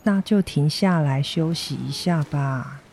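A woman with a Taiwanese accent playing a gentle, apologetic mother recovering from surgery, speaking tenderly in an educational radio drama. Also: deep voice, unhurried, a little hiss or hum. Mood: concerned. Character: gentle, apologetic convalescing mother of a teenage boy. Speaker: amateur voice actor, schoolteacher.

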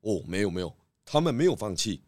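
A man's voice: on the low side, sing-song, fast, darkish tone, dry recording. A man voicing a confident pig detective in a children's audio drama, composed, knowing and theatrical. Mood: calm deduction.

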